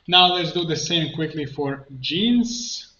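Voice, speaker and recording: A man narrating a step-by-step software tutorial, thinking aloud in a halting way as he works. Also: on the high side. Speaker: tutorial instructor, coach. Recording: muffled, roomy, faint noise, keyboard clicks. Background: computer keyboard typing and clicking.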